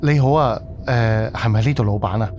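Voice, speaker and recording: A man's voice: mid-range voice, normal speed, noisy background, lightly acted. A man playing a polite, earnest young haunted-house owner in a Cantonese audio drama, speaking conversationally. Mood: businesslike.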